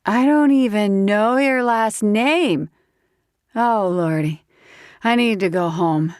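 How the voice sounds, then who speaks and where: A woman's voice: medium pitch, takes it slowly, darkish tone, clean tone, no room sound, studio quality, voice pushed up, lightly acted. A woman voicing a tipsy, cheeky young woman with a Southern accent, sassy and giggly in an audiobook. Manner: giggly, sassy, folksy exclamations.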